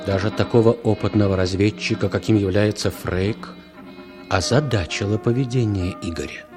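An actor as the narrator of a spy drama, reading scripted narration in a 1960s radio play. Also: male voice, fairly low pitch, moderate pace, music in the background, natural voice. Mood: narrating.

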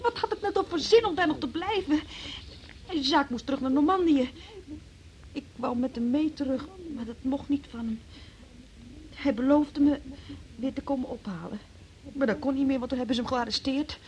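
An actress playing an evasive, defensive young woman who gives a halting account under questioning in a Dutch radio play. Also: sits high, darkish tone, lightly acted. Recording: noisy old radio play recording.

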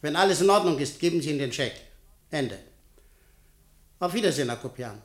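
Radio drama casting: man playing a calculating director, fairly high male voice, curt and commanding, used to being obeyed. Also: lively intonation, brisk, lightly acted. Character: domineering, calculating business director who buys and threatens. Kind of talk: German radio play acting.

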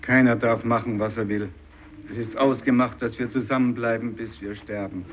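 A man's voice: mid-range voice, steady tone, normal speed, close to an everyday voice. A man acting a solemn, resolute fisherman facing death in a 1950s radio play, speaking with insistence. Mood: grave, resolute. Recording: dull, noisy old radio recording.

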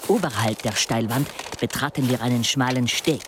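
Radio play narrator: male actor as reflective mountaineer telling his tale, mid-pitched, audible background ambience. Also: moderate pace, background noise, lightly acted. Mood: reflective, uneasy. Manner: literary, reflective storytelling. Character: adult male expedition member recounting a dizzying mountain climb.

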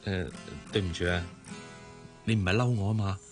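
Low-voiced Hong Kong male office boss, acted. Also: fairly even intonation, faint music behind, close to an everyday voice. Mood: teasing, friendly. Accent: Hong Kong Cantonese. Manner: measured, polite, familiar with subordinate. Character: courteous, hardworking male general manager and boss. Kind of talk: Cantonese radio drama acting.

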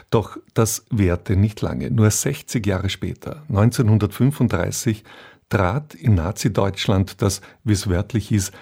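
A man presenting a radio play with a measured, formal scripted introduction. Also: on the low side, dark tone, slightly rough. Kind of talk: scripted narration.

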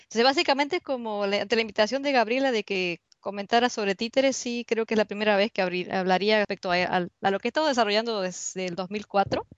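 A woman's voice: medium pitch, fast talker, hardly any pauses, dry recording. Argentine woman presenting over a dull call line. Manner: conversational, searching for words. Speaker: university researcher presenting. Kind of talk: online seminar presentation. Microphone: computer mic on a video call. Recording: dull lo-fi online call audio.

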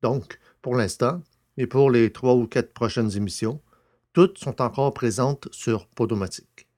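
A man's voice: mid-range voice, mellow. A man with a mid-range voice hosts a podcast. He reads prepared announcements and introductions in the role of presenter.

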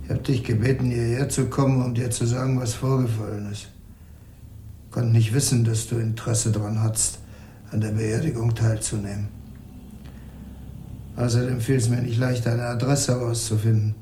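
An actor playing a terse middle-aged uncle in a radio play, speaking in clipped sentences that drop their pronouns. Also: male voice, medium pitch, little pitch movement, moderate pace, warm tone, reverberant, a little hiss or hum, natural voice. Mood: curt. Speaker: uncle character. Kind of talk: 1980s radio drama acting.

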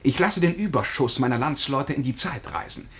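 An actor playing a haughty, menacing time traveller in a radio drama, declaiming in a pompous, commanding way. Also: male voice, mid-range voice, moderate pace, a bit bright, lightly acted. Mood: imperious. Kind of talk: German radio drama acting.

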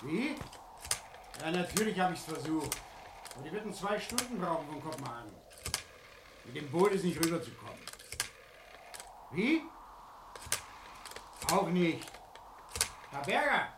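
Lively, harried police sergeant. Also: male voice, fairly high pitch, a little slow, pauses often. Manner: clipped, businesslike. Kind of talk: German radio crime drama acting. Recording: noisy old radio play, clicking.

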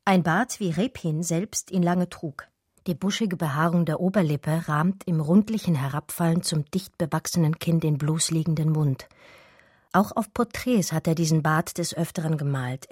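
Radio drama lead: romantic female intellectual, lowish voice, breathy edge, measured and intimate storytelling. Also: darkish tone, no room sound, clean studio sound. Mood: dreamy, reflective. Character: romantic, daydreaming female art historian recalling an infatuation. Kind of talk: radio drama first-person narration, literary.

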